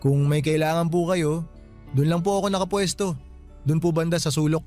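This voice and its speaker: A man's voice: fairly high pitch, fairly even intonation, normal speed, darkish tone, faint music behind, close to an everyday voice. A man playing a kind, respectful bank guard with a Filipino accent, in radio drama style. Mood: kindly. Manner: polite, considerate.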